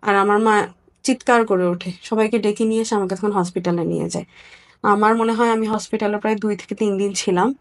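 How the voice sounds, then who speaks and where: A woman from Bangladesh giving a personal account as an interview guest, candid and somewhat halting. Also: medium pitch, fairly even intonation.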